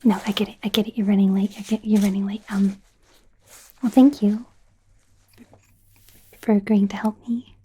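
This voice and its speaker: A woman voicing a young werewolf medical student in an ASMR roleplay, in a soft, breathy whisper with a hesitant, rambling, self-conscious manner. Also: medium pitch, fairly even intonation, moderate pace, pauses often. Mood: hopeful, resigned. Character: young female werewolf medical student, awkward, eager, kind.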